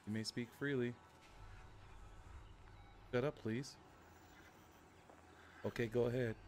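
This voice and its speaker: A man's voice: mid-range voice, slow pace. A man streaming a video game, casually chatting and giving short voice commands. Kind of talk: casual live gaming commentary and voice commands. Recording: steady background noise.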